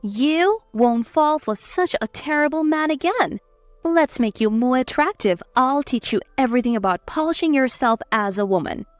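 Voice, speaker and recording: A woman's voice: sits high, animated, moderate pace, no echo, soft background music. A woman voicing a confident, protective aunt who speaks in a pointed, composed way in a dramatized story. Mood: encouraging. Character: confident, cutting, protective aunt exposing cheaters. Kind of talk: scripted story dialogue.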